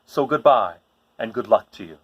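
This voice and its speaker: A man's voice: on the low side, animated, slightly rough, muffled recording, pitched below the performer's own voice. A man voicing the solemn, obedient king of a band of winged monkeys in a storybook, speaking formally. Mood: solemn farewell. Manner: slow, formal.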